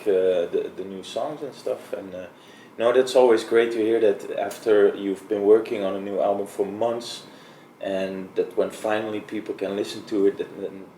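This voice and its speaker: Guitarist guy, lowish mellow voice, laid back, sounds far off in an echoey room. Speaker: band guitarist. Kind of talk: casual interview answers. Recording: roomy, far from mic, faint noise.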